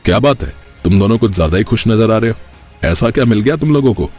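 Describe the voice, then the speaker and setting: A man acts a loyal husband and elder brother in a cartoon family tale. His voice sits fairly low and he speaks earnestly and with principle over clearly audible music. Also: lively intonation, a little fast, a little mellow. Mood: pleased. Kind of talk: cartoon story character acting.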